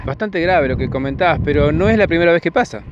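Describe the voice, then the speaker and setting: A man with an Argentine accent, a reporter asking brisk, direct questions on site outdoors. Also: a little high, expressive, lo-fi sound, heavy background noise. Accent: Argentine Spanish. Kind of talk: on-site news interview. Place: outdoors by a street.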